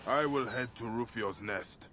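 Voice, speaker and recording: An actor playing a vengeful, passionate warrior assassin of ancient Egypt in a video game, speaking with solemn, emphatic intensity. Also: male voice, medium pitch, unhurried, noisy background, natural voice. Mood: grim, defiant. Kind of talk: dramatic video game acting.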